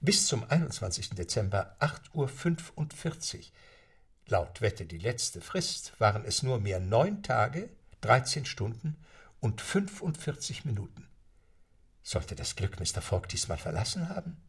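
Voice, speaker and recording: A man narrating a radio play in a measured storytelling delivery. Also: medium pitch, sing-song, gravelly, slightly rough. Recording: narration over music.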